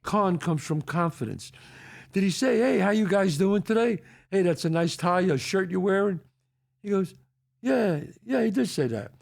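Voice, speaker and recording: A man's voice: sits high, moderate pace, vocal fry. An older man with a New York accent, hosting a casual chat and acting out quotes as he tells stories. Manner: animated storyteller acting out quotes. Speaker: podcast host.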